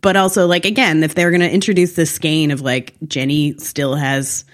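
A woman's voice: sits low, fairly even intonation, moderate pace. A woman co-hosting a casual recap discussion, talking in an opinionated, freely sweary way. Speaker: podcast co-host.

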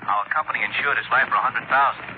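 Man acting fast-talking insurance boss, tinny line. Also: medium pitch, natural voice. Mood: terse, businesslike. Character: businesslike male insurance company executive. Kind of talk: 1950s radio drama acting. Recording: tinny, phone-like old radio recording.

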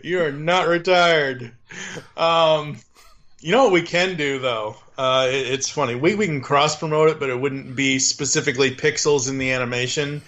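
A man's voice: fairly high pitch, fairly even intonation, normal speed, fairly bright tone, dull audio. A man hosting a podcast in casual chat, talking loosely and laughing often as he lays out plans. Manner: chatty, laughs often, rambles through plans. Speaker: podcast host.

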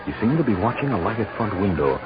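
A male radio narrator tells a western story with dramatic, widely swinging intonation. The vintage recording is dull, with clear music behind him. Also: fairly low pitch, sing-song. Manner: dramatic, deliberate.

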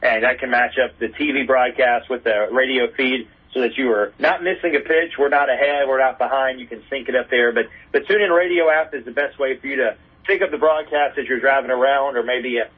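Husky American man on a phone-like line. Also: medium pitch, brisk. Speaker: baseball radio play-by-play announcer, podcast guest. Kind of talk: casual anecdotal interview chat. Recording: narrow, telephone-like call sound.

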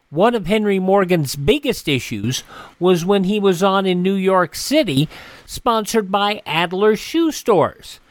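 A man hosting a radio show, giving conversational commentary on classic radio in a halting, reflective way full of asides. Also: high voice, dry recording.

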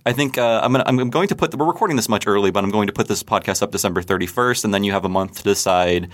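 A man's voice: fairly low pitch, very fast. A man co-hosting a casual podcast, chatty and rambling, with jokes and plenty of fillers. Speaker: podcast co-host.

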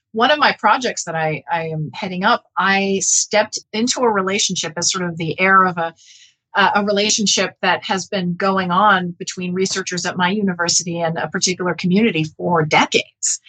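A woman speaking as a professor in an interview, explaining her research in an earnest, measured, halting way with self-corrections. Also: lowish voice, sharp tone, rough recording.

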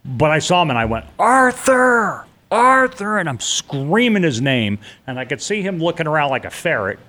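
A man's voice: a little high, big pitch swings, normal speed, bright, forceful tone. A middle-aged man telling a personal story in an interview, blunt and vivid, with casual swearing. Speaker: interview guest.

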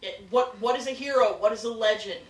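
A woman's voice: a little high, fairly quick. An American woman co-hosting a podcast, chatting casually about a review and leaning emphatically on key words. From United States. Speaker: podcast co-host. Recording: roomy, distant mic with slight noise.

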